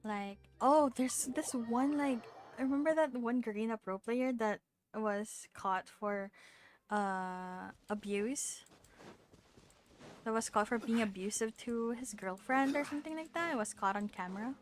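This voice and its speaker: A woman's voice: fairly high pitch, measured pace. A young adult woman chatting casually on a live game stream, informal and musing.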